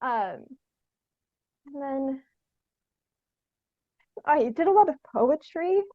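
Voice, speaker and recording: A woman's voice: sits high, animated, very slow, no echo, narrow, tinny audio. A young woman chatting casually as an interview guest, tentative and meandering, with "like" sprinkled all through her sentences. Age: young adult. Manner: tentative, meandering, heavy use of "like". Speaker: podcast guest, theatre artist.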